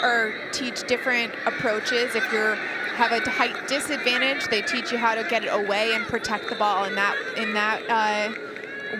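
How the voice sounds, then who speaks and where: Female color commentator, conversational, a little high-voiced, working a live game amid very loud stadium noise. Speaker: sports color analyst. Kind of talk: live sports analysis, conversational.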